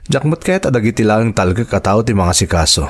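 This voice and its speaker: A man's voice: lowish voice, sing-song, a little fast. A man playing a devoted, reassuring husband and father in an Ilocano drama, speaking earnestly. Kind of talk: Ilocano radio-style drama acting.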